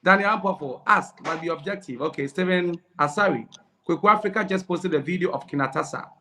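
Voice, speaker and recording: A man hosts a relaxed interview, with a voice pitched a bit high for a man. He talks casually and reads viewer comments aloud, breaking into laughter now and then. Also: fast. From Ghana. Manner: chatty, laughs while reading comments. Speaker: interviewer and host.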